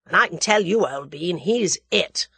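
A woman voicing a cheery, matter-of-fact young English man with a jaunty, brisk manner in a storybook. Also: on the low side, expressive, pushed and bright, breathy edge, dry recording, full character voice.

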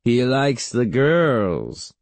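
A man voicing a big, loud old great-aunt in a storybook, teasing and menacing, with bellowing, probing questions. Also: slow pace, dry recording. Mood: leering, probing. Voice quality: deep-throated.

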